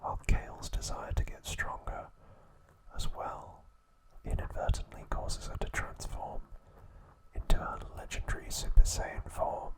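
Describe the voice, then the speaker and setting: Whispering male ASMR narrator. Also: low-quality recording. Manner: slow, hushed, deliberate phrasing with pauses. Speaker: ASMR channel host.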